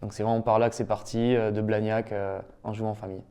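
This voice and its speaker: A young man answers interview questions with enthusiasm, his voice smooth and warmly dark. He speaks in a fairly even tone and fills his pauses with a steady "euh". The delivery stays casual and talkative throughout. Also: lowish voice. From Toulouse, France. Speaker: professional padel player, guest.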